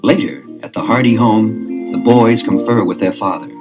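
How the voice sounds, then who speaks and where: A man's voice: fairly low pitch, normal speed, music in the background. A man narrating an audio drama, setting each scene plainly and without hurry. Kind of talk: scripted narration.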